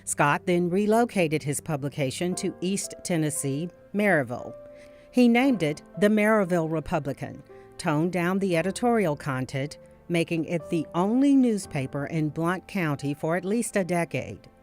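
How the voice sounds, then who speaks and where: Female documentary narrator, slightly low pitch, scripted delivery, clear guitar music behind. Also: animated, moderate pace, a little mellow.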